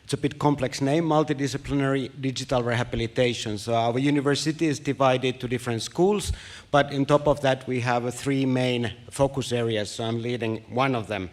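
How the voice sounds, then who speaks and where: A man who grew up in Tanzania answers as a conference panelist in a medium-pitched voice. He talks things through, restarting sentences as he goes. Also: brisk. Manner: relaxed, thinking aloud with restarts. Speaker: panelist, university focus area lead.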